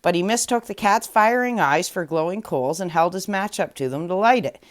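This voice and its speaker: A woman storyteller narrating a fairy tale aloud in an expressive read-aloud style. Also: lowish voice, normal speed.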